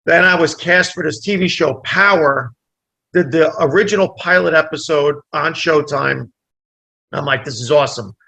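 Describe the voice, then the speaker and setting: Bright-voiced storytelling man, Italian first language. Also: a little high, normal speed, vocal fry. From New Jersey. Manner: animated storyteller, acts out dialogue. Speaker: comedian guest. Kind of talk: casual storytelling interview.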